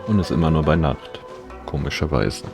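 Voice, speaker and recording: A man's voice: a bass voice, fairly quick, creaky, music in the background. A man livestreaming a video game, talking casually and reading along. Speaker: game streamer.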